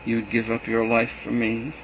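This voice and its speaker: A man voicing Jesus as a gentle teacher saying farewell to his students, earnest and measured. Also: medium pitch, monotone, a little hiss or hum, close to an everyday voice, poor audio. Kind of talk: reading a gospel aloud.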